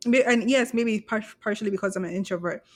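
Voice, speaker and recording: A woman's voice: medium pitch, fast. A woman from Kenya, co-hosting a podcast in casual conversation, candid and self-deprecating about herself. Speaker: podcast co-host.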